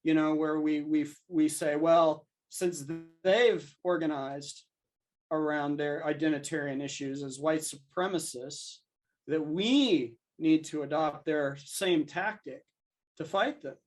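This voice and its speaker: A man's voice: a little high, takes it slowly. A man speaking as a podcast guest, thinking aloud in a conversational, discursive way and voicing imagined exchanges to make his arguments. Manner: discursive, argues through imagined dialogue.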